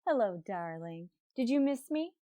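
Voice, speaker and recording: An actress playing a wry, lovelorn schoolteacher with deadpan, sarcastic wit in an old-time radio comedy. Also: female voice, on the high side, normal speed, dry recording. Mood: falsely sweet.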